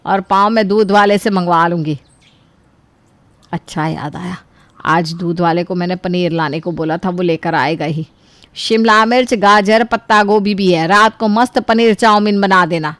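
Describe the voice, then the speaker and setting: A woman playing a middle-aged, self-pitying, sarcastic mother-in-law in an animated story, scolding and complaining dramatically. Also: mid-range voice, dry recording, lightly acted. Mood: bossy. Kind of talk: animated story character dialogue.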